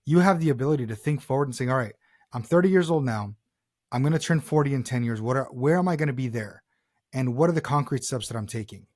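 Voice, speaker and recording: A man's voice: medium pitch, quick pace, darkish tone. A man in his thirties talking earnestly in a reflective personal conversation, often repeating and rephrasing himself as a podcast co-host. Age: 30s.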